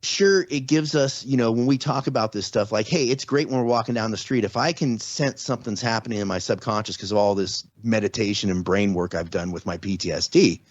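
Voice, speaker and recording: A man's voice: lowish voice, a little fast, few pauses, no echo. A man in his forties or fifties, a podcast guest, explaining ideas earnestly in casual talk with many restarts. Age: 40s or 50s. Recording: slightly dull lo-fi audio.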